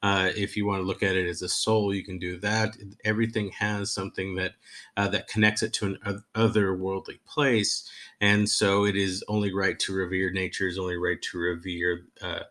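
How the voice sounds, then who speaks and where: A man giving an unscripted history lecture as a professor, speaking haltingly with many fillers and restarts. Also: low-pitched, little pitch movement, fairly bright tone. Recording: recorded lecture.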